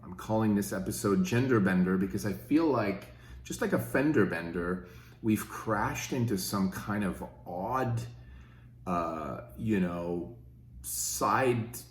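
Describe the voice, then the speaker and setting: A man's voice: on the low side, lively intonation, slow pace, reverberant. A fifty-year-old man from Switzerland, talking casually and rambling with many fillers in his own opinion video. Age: 50s. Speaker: podcast host.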